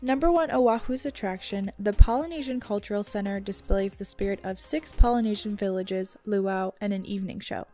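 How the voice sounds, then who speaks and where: A woman's voice: mid-range voice, moderate pace, hardly any pauses, warm tone, soft background music. A woman reading a scripted travel guide narration for a vlog.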